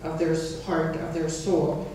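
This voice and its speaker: A middle-aged woman from Montreal, a native Italian speaker, giving a personal comment from the audience with deliberate, emphatic phrasing. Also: low-pitched, little pitch movement, dark tone. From Montreal, Canada. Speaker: audience member. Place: church hall. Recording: echoey hall, distant mic, faint noise.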